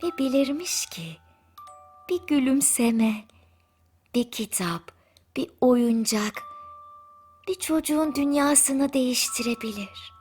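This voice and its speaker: A woman narrating a bedtime story in a soothing, gentle manner with drawn-out pauses. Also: sits high, animated. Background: music.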